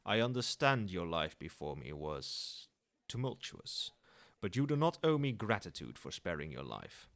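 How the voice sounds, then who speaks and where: Man voicing a low, lilting, haughty old dragon. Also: very animated, a little slow, a bit bright, full character voice. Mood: fond, reassuring. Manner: formal, lofty phrasing, teasing. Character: proud old dragon in human form, haughty yet fond. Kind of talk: ASMR roleplay acting.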